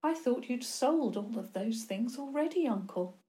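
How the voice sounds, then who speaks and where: A woman's voice: a little high, animated, moderate pace, warm tone, pitched above the performer's own voice, natural voice. A woman voicing a defiant young bride in a storybook, with a sweet, light manner. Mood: sweetly mocking.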